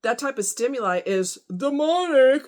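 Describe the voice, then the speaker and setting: A woman's voice: sits high, lively intonation, moderate pace, darkish tone. Casual woman vlogger, chatty and slangy. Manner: conversational, rhetorical questions, informal slang. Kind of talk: casual rambling opinion vlog.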